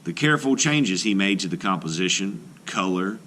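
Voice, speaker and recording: A man reading a children's book aloud as an art teacher, in an expressive storytelling style with deliberate pauses. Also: low-pitched, a little hiss or hum. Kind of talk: scripted narration, children's read-aloud.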